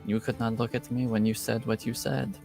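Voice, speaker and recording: A man playing a wounded parent who coldly dismisses their child in a quiet, restrained way, in a tabletop game. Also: medium pitch, level tone, a little fast, gravelly, soft background music, lightly acted. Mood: cold hurt.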